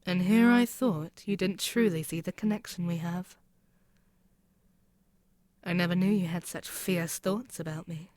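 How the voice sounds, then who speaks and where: A woman voicing a proud, mocking demon queen in an intimate ASMR roleplay, speaking in a haughty, deliberate, musing way. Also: lowish voice, darkish tone, lightly acted. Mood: pensive, wounded pride. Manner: haughty, deliberate, musing, with pauses and murmured asides. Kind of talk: scripted ASMR audio roleplay, spoken intimately to the listener.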